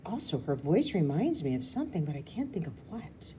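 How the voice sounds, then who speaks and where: A woman's voice: sits low, sing-song, a little fast, warm tone, slight background noise. A woman reading a children's novel aloud informally, now and then stumbling and correcting herself. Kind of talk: storybook read-aloud, informal.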